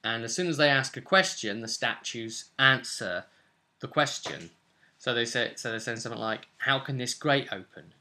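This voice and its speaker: Young man, bright voice, casual recap. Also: medium pitch, animated, normal speed. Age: young adult. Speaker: game master recapping a tabletop role-play session. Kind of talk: casual unscripted vlog recap. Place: small furnished room at home, indoors.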